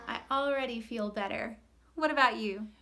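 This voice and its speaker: A woman in her thirties hosting story time for young children, expressive, animated and encouraging, in simple phrases. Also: a little high, normal speed, very noisy. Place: small room with cloth backdrop. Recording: roomy echo, music behind.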